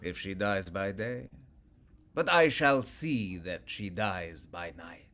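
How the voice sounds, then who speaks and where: Very slow, imperious actor as a vampire count. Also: male voice, lowish voice, sing-song, a bit bright, exaggerated voice. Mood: cold menace. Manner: measured, commanding, deliberate. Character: ancient, imperious vampire count, coldly menacing. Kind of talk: theatrical radio play acting.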